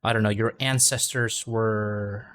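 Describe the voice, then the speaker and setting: A man's voice: fairly low pitch, fairly even intonation, measured pace, a bit bright. A man tutoring English over a video call, chatting casually and often restarting his words. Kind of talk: conversational English lesson.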